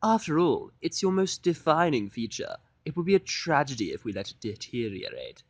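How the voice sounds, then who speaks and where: A man voicing a prim, fussy, theatrical female pony fashionista in a fan fiction audio drama, with a haughty, drawn-out, dramatic delivery. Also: a little high, very animated, no echo, voice pushed up. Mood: affronted, persuasive.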